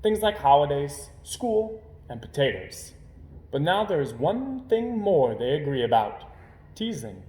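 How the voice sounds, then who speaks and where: A man reading a children's story aloud as storyteller, with expressive narration. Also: fairly high pitch, a little slow. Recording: roomy, echoing home recording.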